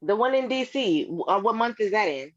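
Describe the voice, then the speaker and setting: A woman's voice: medium pitch, lively intonation, fairly quick. An older woman chatting casually and asking questions as a member of a team meeting. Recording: dull lo-fi call audio.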